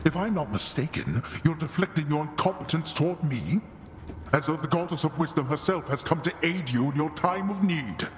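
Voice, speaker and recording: Man playing a scornful underworld god-king, commanding and cutting, lively rising and falling delivery, video game. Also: fairly high pitch, moderate pace, in a large hall, over music, natural voice. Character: stern male god of the dead, cold, scornful father.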